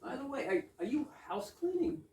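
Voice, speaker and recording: A woman's voice: high voice, very animated, normal speed, creaky, darkish tone, a little hiss or hum, heavily acted. A woman playing an earnest, gullible young suitor with a romantic, rhapsodic manner in an amateur readers theater comedy. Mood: curious. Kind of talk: amateur readers theater of a 1920s comic play. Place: indoor readers theater stage, distant microphone. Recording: echoey stage recording from far off.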